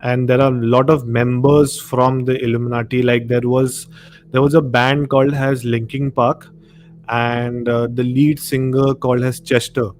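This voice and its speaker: A man's voice: medium pitch, flat delivery, normal speed, faint music behind. A man with an Indian accent hosting a casual live discussion, explaining things in a conversational way and often saying "you know". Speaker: live show host. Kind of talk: casual discussion of horror and conspiracy. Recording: noisy live stream audio.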